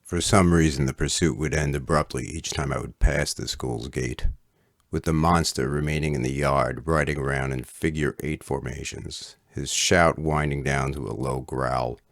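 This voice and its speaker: A middle-aged man reading an autobiographical story as its author, in a measured, literate storytelling delivery. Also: rumbling low, steady tone, vocal fry, no echo, studio quality. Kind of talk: scripted autobiographical narration.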